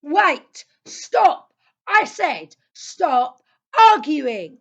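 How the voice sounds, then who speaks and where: Lady doing a little boy king, blunt and commanding, high voice, bit dull sound. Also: sing-song, drawn-out words, full character voice. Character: bossy young boy king of monsters. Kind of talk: children's audio play acting.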